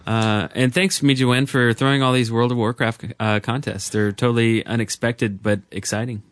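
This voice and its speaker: A man co-hosting a casual gaming podcast, talking in a halting, rambling way. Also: mid-range voice, fairly even intonation, dry recording. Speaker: podcast co-host.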